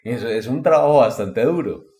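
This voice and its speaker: A man with a Latin American Spanish accent in a casual podcast discussion, explaining things haltingly and repeating words as he goes. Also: mid-range voice, animated, dark tone. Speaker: podcast host.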